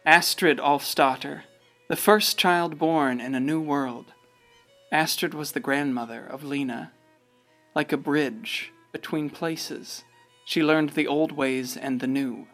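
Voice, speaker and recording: A woman's voice: low voice, steady tone, takes it slowly, a bit bright, exaggerated voice. An actress playing a folksy narrator in a radio play, musing and conversational as she watches over a town. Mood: musing, wistful. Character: folksy storyteller watching over a river town's generations. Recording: faint music behind voice.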